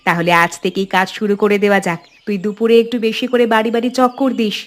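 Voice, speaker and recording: A woman's voice: medium pitch, quick pace, lightly acted. A woman voicing a friendly, enterprising village housewife, coaxing and familiar, in cartoon dialogue. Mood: enthusiastic. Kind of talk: animated story dialogue.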